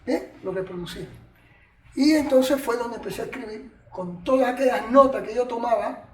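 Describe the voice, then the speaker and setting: An older man from Cuba with a Cuban Spanish accent, telling animated, chatty stories from his martial arts past as an interview guest. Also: very high voice, moderate pace. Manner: animated, colloquial, chatty asides. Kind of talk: reminiscing storytelling. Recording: echoey room, distant mic, faint noise.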